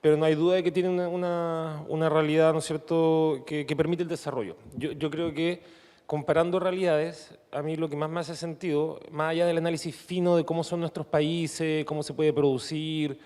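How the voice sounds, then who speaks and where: Halting Chilean man in a reverberant hall. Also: on the high side, little pitch movement, darkish tone. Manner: halting, searching for words. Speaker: guest panelist, academic. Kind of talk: unscripted conference panel speech. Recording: echoey hall with long reverb.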